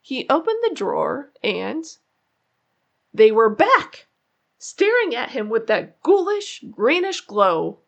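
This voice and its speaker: A woman reading a children's story aloud in an expressive way, drawing out key words for suspense. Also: fairly high pitch, takes it slowly, gravelly, highs cut off. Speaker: children's book narrator. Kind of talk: animated read-aloud storytelling.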